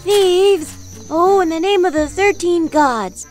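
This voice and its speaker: Woman acting a very high-pitched indignant young elf. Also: takes it slowly, faint music behind. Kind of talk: fantasy audio drama acting.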